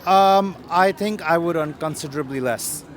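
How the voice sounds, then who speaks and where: A man answering street interview questions in a measured, composed way. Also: a little high. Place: city street outdoors. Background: crowd.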